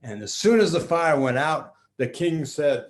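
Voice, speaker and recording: An older man with a slightly high voice tells a story to children. He speaks very slowly and theatrically, pausing and restarting as he makes it up. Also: expressive. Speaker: storyteller grandpa.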